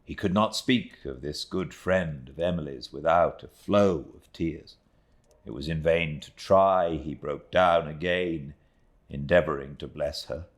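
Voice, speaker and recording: Deep, unhurried British male narrator. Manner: measured, dramatic. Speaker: audiobook narrator. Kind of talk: expressive literary narration of a classic novel.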